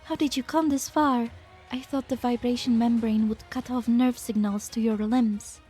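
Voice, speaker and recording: A woman voicing a compassionate, idealistic scientist who is gentle yet unyielding, in an earnest amateur fan dub. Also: on the high side, steady tone, moderate pace, slightly rough, soft background music. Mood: gentle, apologetic.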